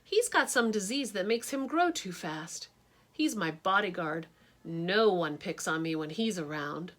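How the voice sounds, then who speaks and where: A gawky, eager schoolboy chatters in a high, bouncing storybook voice, as a woman puts him on. His pitch leaps around as he points things out, lively and friendly. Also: a little slow. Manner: chatty, excited, raised pitch. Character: gawky eager boy with big glasses.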